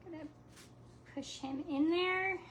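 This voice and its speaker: A woman's voice: very high voice, takes it slowly, a bit bright. A young woman chatting casually to viewers while she refinishes furniture at home, talking as she works and often trailing off mid-thought. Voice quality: slight lisp. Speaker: craft vlogger. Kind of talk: casual live craft chat.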